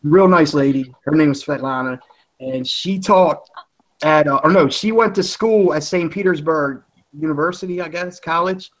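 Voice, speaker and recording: A man's voice: fairly high pitch, normal speed, rough recording. Chatty man with a Philly accent. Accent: Philadelphia. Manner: rambling, chatty, self-correcting. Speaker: livestream host. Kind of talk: casual group chat.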